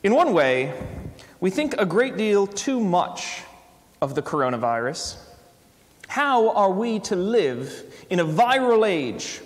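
A man acts out a brisk, stoical British man of letters reading his essay aloud. The voice is fairly high and theatrical, with a put-on British accent, ringing in a long church reverb. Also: very animated, exaggerated voice. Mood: emphatic, reasoning.

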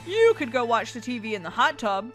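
A woman voicing a cheerful, easygoing, goofy dad in an animated, playful family role-play over a video game. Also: a little high, a little fast, faint music behind. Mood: breezy, goofy.

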